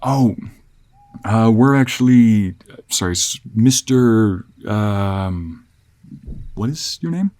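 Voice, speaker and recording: A man's voice: fairly low pitch, expressive, slow, pauses often, darkish tone, pitched above the performer's own voice. A man voicing a nervous, polite and cautious village traveler who stammers, in a fantasy audio roleplay. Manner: stammering, flustered, courteous.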